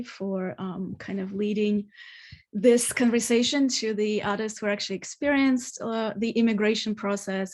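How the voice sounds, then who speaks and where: Gravelly-voiced woman presenting her art. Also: mid-range voice, darkish tone. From Ukraine, raised in Australia. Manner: informal, many fillers and restarts. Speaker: panelist artist. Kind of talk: online conference presentation.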